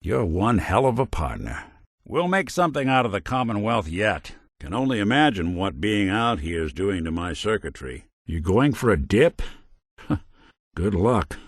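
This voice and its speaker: An actor playing a weary, wry male synth detective in a game, with a dry, world-weary noir drawl that turns gruff in anger. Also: on the low side, very animated, measured pace, no room sound, heavily acted. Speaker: companion character. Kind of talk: game voice lines. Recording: dry studio recording.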